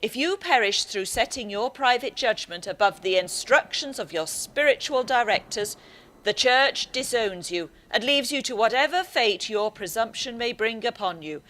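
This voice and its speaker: A woman's voice: fairly high pitch, expressive, moderate pace, fairly bright tone. A woman playing a stern, authoritarian church leader in a radio play, speaking in a severe, formal, admonishing way. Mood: stern rebuke. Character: stern, authoritarian high churchman, warning and judgemental.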